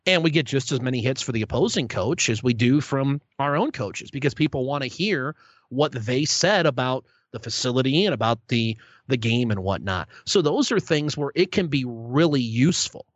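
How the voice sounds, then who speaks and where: A man's voice: mid-range voice, lively intonation, normal speed, dry recording. A young man, a sports play-by-play broadcaster, answering interview questions in a good-humored, self-deprecating way with many restarts. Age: young adult. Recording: dull lo-fi sound.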